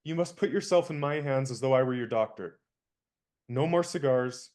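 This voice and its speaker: A young man acting an indignant valet in a radio play, crisp and lecturing. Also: medium pitch, moderate pace, darkish tone, natural voice. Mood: stern.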